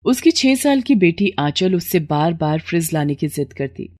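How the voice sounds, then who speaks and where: A woman's voice: lowish voice, very animated, normal speed, a little mellow. A woman narrating a scripted moral story as a storyteller.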